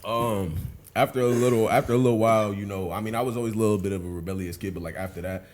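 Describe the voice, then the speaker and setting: A man's voice: lowish voice, fairly even intonation, very fast, a crowd in the background. A man, chatting casually as a podcast guest in a laid-back, rambling way. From Buffalo, New York, USA. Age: 20s. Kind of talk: casual chat.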